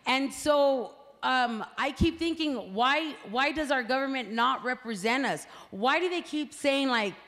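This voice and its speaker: A woman's voice: high-pitched, unhurried, few pauses, fairly bright tone. Woman speaking in an echoey hall. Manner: conversational, frequent fillers and "right?" tags. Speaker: party representative speaking at a community meeting. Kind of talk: public speech to a crowd. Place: community meeting hall. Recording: hall reverb from a meeting room.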